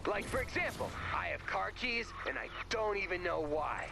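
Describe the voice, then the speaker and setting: An actor playing an absent-minded, melodramatic goofball in a video game, gravelly and given to comic musing. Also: male voice, high-pitched, lively intonation, normal speed. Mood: wistful, goofy. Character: hungry, absent-minded, melodramatic goofball man in a post-apocalypse. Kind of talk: animated video game voice acting. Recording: background ambient noise.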